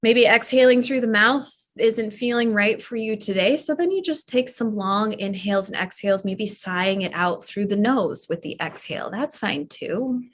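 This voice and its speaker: A woman teaching a yoga nidra class, talking softly and soothingly with long pauses as she guides a guided relaxation. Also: mid-range voice. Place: live online or studio class.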